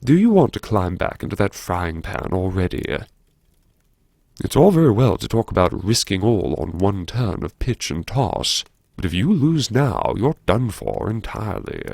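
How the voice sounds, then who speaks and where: A man performing a first-person audiobook monologue as a brooding, wry character who anxiously lectures himself in a theatrical, musing way with dramatic pauses. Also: lowish voice, sing-song, creaky, a little mellow, no room sound, studio quality, heavily acted. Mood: scolding, sardonic. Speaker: audiobook narrator.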